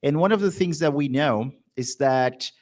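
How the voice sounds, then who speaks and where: A man's voice: fairly high pitch, normal speed, darkish tone. Brazilian man in casual podcast talk. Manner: agreeable, affirming, guides the talk. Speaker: co-host, professor. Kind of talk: casual educational podcast chat.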